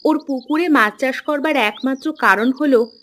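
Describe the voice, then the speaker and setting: A woman's voice: high voice, normal speed, a bit bright. A woman playing a chatty, earnest young married daughter in a cartoon folk tale. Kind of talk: Bengali animated folk-tale dialogue. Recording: clear background noise, chirping birds.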